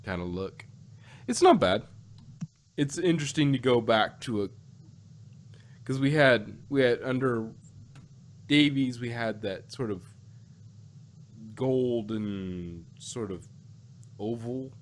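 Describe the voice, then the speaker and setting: A man chatting casually as a podcast host, rambling and halting, often repeating himself as he gives his opinions. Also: mid-range voice, animated. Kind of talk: casual opinionated fan chat.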